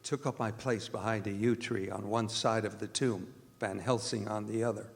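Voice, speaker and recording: A man playing a haunted doctor who narrates a horror radio drama in a grave, measured way. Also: on the low side, fairly even intonation, vocal fry, music in the background. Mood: haunted, dread. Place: performing arts center stage. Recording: live hall recording with long reverb.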